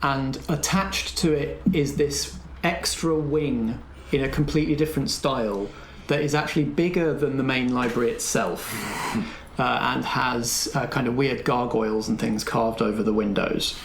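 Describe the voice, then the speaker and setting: A man's voice: mid-range voice, moderate pace. A man acting as game master, narrating scenes and prompting players in a tabletop roleplay. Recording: echoey room, faint background noise.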